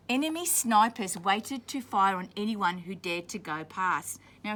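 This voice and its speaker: A woman's voice: mid-range voice, a bit bright. A woman reads a picture book aloud to children, stopping to explain words in plain terms. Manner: pauses to explain words simply. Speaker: school staff member reading aloud.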